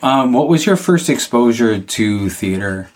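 A man's voice: medium pitch, expressive, unhurried, no room sound. A man hosting a theater podcast, reading plugs and then chatting with his guest. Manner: reads sponsor spots, then chatty and encouraging. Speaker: podcast host. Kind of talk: interview.

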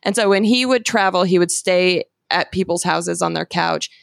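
A woman's voice: fairly low pitch, moderate pace, gravelly, dry recording, clean studio sound. A woman co-hosting a casual food-tasting chat, telling stories and laughing often. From US Bay Area. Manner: chatty storyteller, laughs often. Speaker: co-host tasting candy.

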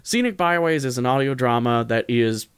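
Man, podcast host, mid-pitched clear voice, rambling, self-correcting, informal, jokey, dry close sound. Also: animated, normal speed, no room sound. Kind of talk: casual solo podcast talk, recommending.

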